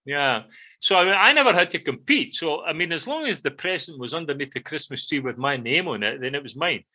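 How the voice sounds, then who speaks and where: A man's voice: fairly high pitch, lively intonation, bright, forceful tone. Rambling Scottish man on dull lo-fi audio. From Scotland. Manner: rambling, halting, frequent "you know". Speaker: podcast co-host. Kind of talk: casual reminiscing chat. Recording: dull, lo-fi band-limited audio.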